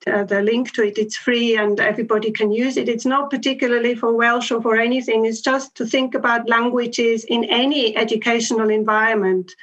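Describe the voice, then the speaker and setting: A woman's voice: on the high side, a bit bright. Hesitant woman on a muffled video call. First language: not English. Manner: thoughtful, hesitant with many ums and restarts. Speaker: education researcher. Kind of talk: panel discussion answer. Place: home room with bookshelves and plants. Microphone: laptop webcam on video call. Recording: dull lo-fi video call audio.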